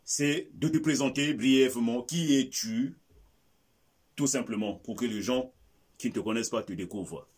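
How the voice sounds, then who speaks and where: A man with a husky, breathy voice at middling pitch presents his channel to viewers. He talks in an emphatic, animated way while interviewing his guest. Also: normal speed. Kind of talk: interview hosting, addressing viewers.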